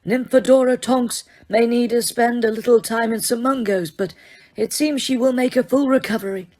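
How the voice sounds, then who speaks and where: Woman narrator doing an elderly wizard headmaster: calm, quiet, higher voice, serene storybook delivery. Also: moderate pace, lightly acted. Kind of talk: audiobook character reading.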